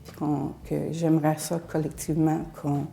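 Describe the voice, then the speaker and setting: An older woman whose first language is English, from Alberta, giving an earnest, halting personal testimony as a feminist activist. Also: low voice, flat delivery, vocal fry. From Alberta, Canada. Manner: earnest, halting with frequent pauses.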